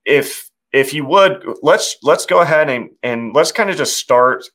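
A male interviewer, gently prompting his guest to start at the beginning. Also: a little high, measured pace. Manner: encouraging, relaxed prompting. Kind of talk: interview questions, casual.

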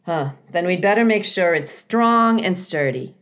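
A woman voicing a folksy, bossy but kindly male beaver builder in a children's storybook, chatty and gruff in manner. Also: fairly low pitch, expressive, unhurried, a bit bright. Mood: brisk, businesslike.

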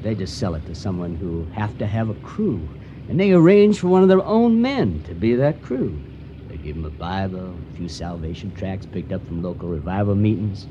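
Guy doing a sly folksy pirate crook in a radio play, low drawl that swings all over, taunting. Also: warm tone, lo-fi sound, lightly acted. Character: folksy menacing con-man pirate posing as pious sailor. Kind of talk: radio drama acting. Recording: noisy storm-like ambience behind voice.

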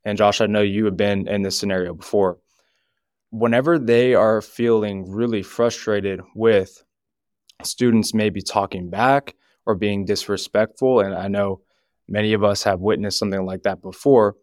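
A man on a podcast talking about youth ministry, joking at times and speaking earnestly and deliberately, reading scripture aloud now and then. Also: fairly low pitch, steady tone, darkish tone, no room sound, clean studio sound.

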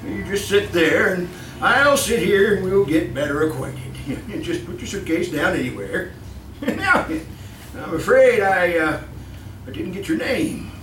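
Shifty employer for live radio play: fussy, evasive, animated intonation, nervous chuckles, heard at a distance over music. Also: male voice, high voice, a little slow, echoey room. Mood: oily, flustered. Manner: stammering, nervous chuckling, repeats himself. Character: shifty, fussy, giggling male employer with sinister secrets. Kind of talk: old-time radio acting before a live audience.

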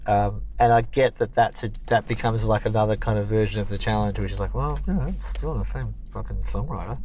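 A man's voice: lowish voice, brisk, darkish tone, slight background noise. A man, a singer-songwriter, talking casually and rambling with many fillers and restarts. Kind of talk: casual conversation.

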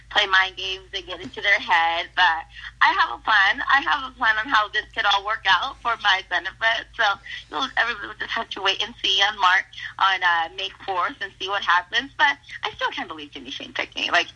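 A woman's voice: mid-range voice, animated, brisk, sharp tone, breathy edge, highs cut off. A young woman from Mexico whose first language is Spanish, a pro wrestler chatting upbeat and gushing in a casual interview, full of "like" and "awesome". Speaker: professional wrestler.

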